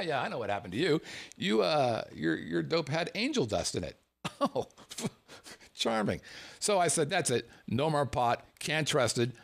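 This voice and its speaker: A man from the United States, a show host, telling a personal anecdote in a casual, animated way and chuckling as he goes. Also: on the high side, creaky. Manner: animated storyteller, chuckles through his words.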